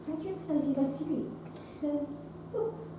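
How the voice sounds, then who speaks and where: A woman's voice: high voice, normal speed, natural voice. A young woman acting on stage as a gracious, theatrical Victorian society actress, with a poised and mannered delivery. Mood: charmed, attentive. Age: young adult. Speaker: stage actor in a teen theatre program. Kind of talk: live stage drama acting. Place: theatre stage, recorded from afar. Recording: distant, echoey stage recording with noise.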